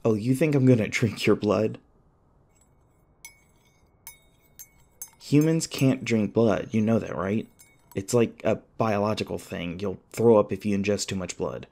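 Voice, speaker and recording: Man playing a shaken young guy in an asmr roleplay, low creaky voice, stammers, kinda apologetic. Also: mellow, close to an everyday voice. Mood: incredulous, wary. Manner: hesitant, halting, stammering. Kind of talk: ASMR audio roleplay, naturalistic acting.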